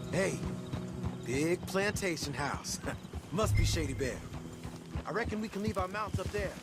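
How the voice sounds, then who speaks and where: An actor playing an eager, wry young outlaw in an Old West video game. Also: male voice, high voice, lively intonation, unhurried, over loud music. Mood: alert. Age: young adult. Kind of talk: naturalistic video game acting.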